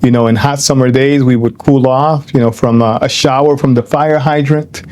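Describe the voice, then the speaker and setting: A man's voice: mid-range voice, fairly even intonation, normal speed, a little mellow. An older man from Ecuador, interviewed as a guest, speaking reflectively with many fillers and restarts and dropping in Spanish phrases.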